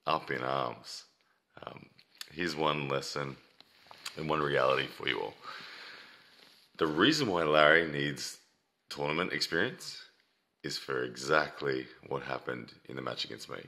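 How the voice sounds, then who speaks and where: Casting: man with an extremely low, hard-edged voice that is wearing out; blunt, scolding straight talk to an audience. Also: bright, forceful tone. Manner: blunt, scolding, emphatic repetition. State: losing his voice, throat-clearing. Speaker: competitive arm wrestler. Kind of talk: casual opinion monologue to viewers.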